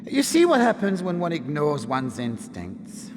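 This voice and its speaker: An arrogant, cruel fantasy nobleman speaks slowly in a long, reverberant hall. His aristocratic delivery is theatrical, with extremely varied intonation, as in a game cutscene. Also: fairly high pitch, wildly swooping pitch, background noise. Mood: sneering. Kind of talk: video game cutscene acting.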